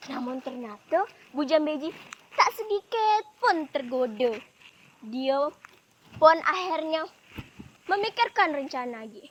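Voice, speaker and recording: A woman's voice: sits high, expressive, measured pace, long pauses, fairly bright tone. A teenage girl with a West Kalimantan Malay accent, telling a folktale in a dramatic storytelling style. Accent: West Kalimantan Malay-influenced Indonesian. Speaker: student storyteller.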